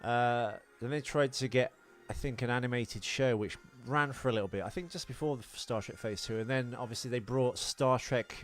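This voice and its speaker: Male podcast host, mid-pitched, teasing and animated film chat, light orchestral bed. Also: brisk, few pauses. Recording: music playing behind the talk. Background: orchestral film score.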